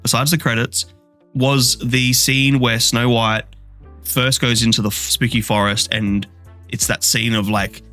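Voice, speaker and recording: A man's voice: medium pitch, bright, forceful tone. A man co-hosting a movie podcast, ranting bluntly and swearing freely as he reviews a film. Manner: blunt, swears a lot, rants with rising energy. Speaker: podcast co-host. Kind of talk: casual movie review chat.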